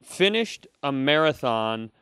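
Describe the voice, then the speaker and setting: A man with a clear, smooth voice of middling pitch co-hosts a comedy podcast. He tells stories in a casual, rambling, profane and joking way. The sound is close and dry. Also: very animated, slow pace, fairly bright tone, no room sound. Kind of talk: casual comedic storytelling chat.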